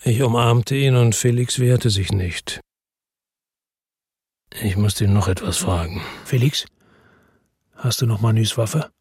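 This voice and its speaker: An actor playing a weary, determined man who narrates his crime story in a measured, understated, rough-edged way for German radio drama. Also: lowish voice, darkish tone, dry recording, studio quality, full character voice. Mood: sober narration. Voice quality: husky, rough.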